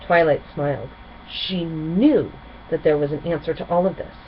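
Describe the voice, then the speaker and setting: Female children's storyteller. Expressive, lower-pitched, animated narration; muffled home-style sound with light noise. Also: moderate pace. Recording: dull sound with faint background noise.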